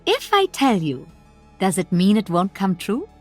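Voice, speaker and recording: A woman's voice: medium pitch, huge pitch swings, measured pace. A woman playing a principled, kindly battlefield medic in a video game. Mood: warm. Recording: music behind the voice.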